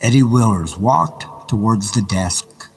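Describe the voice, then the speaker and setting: A man reading a novel aloud in a dramatic, deliberate, measured style with pauses. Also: fairly low pitch, slightly rough. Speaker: audiobook reader. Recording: noisy recording with background ambience. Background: background ambience sounds.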